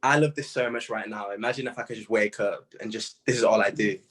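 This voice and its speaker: A young British man in a casual interview, telling stories in slangy, animated speech full of quoted asides. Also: fairly low pitch, quick pace, slightly rough. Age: young adult. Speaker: music artist being interviewed.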